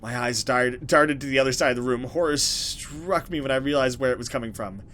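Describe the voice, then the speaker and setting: A man's voice: mid-range voice, a little fast, a bit bright. Man narrating a game, faint background noise. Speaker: let's play host. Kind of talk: dramatic game narration with casual reaction commentary.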